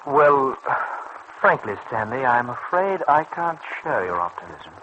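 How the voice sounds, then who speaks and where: An actor in an old radio serial playing a smooth, scheming bootlegging boss with formal, condescending politeness. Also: male voice, mid-range voice, very animated, unhurried, dull audio. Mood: quietly menacing. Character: smooth, scheming male bootlegging boss, coolly double-crossing. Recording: faintly noisy old radio recording.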